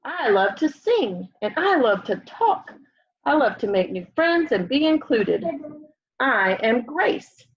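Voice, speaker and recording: A young woman reading a children's picture book aloud in an expressive way, often putting questions to young listeners. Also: high voice, takes it slowly, husky. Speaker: storybook reader. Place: room at home, indoors. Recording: dull, lo-fi home webcam audio.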